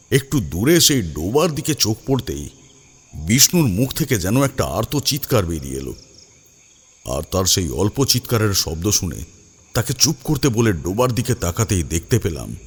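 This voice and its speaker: A man's voice: deep voice, slightly rough. A man narrating a scripted first-person horror story in a dramatic, suspenseful manner.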